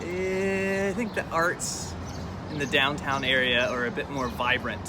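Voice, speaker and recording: A man's voice: mid-range voice, steady tone, unhurried, background noise. An American man from rural North Carolina telling his personal story candidly and reflectively as an interview guest. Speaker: interview guest, musician and artist. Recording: loud music behind the voice. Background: music.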